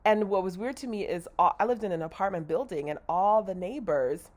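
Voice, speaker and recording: A woman's voice: mid-range voice, lively intonation, brisk, darkish tone. A woman with a slightly nasal, congested voice, rambling candidly in a casual video diary and correcting herself as she goes. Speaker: vlogger.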